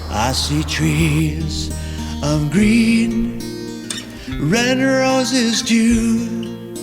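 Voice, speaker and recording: A woman singing a slow ballad. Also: a little high. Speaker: singer. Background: acoustic guitar music.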